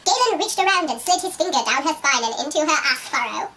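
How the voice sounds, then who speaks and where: A woman's voice: high voice, expressive, fast talker, sharp tone, pitched above the performer's own voice, heavily acted. A woman voicing an over-dramatic, giggly narrator reading a steamy romance novel in a theatrical manner. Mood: amused melodrama. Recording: distant mic with faint noise.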